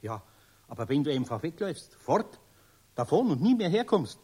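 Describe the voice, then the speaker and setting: Husky, very animated older Bavarian craftsman. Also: fairly high pitch, normal speed. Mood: thoughtful. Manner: hesitant, muttering, exclaiming in surprise. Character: older Bavarian master carpenter, gruff but good-natured, bewildered. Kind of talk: children's radio play acting.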